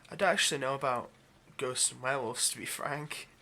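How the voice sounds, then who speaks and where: Man as a hesitant, gravelly-edged vampire technician. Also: mid-range voice, brisk, bright, forceful tone, a little hiss or hum. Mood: secretive, earnest. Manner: hesitant, stammering, overly polite. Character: nervous, obsessive male vampire posing as lab technician. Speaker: ASMR roleplay performer. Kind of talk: intimate ASMR roleplay acting.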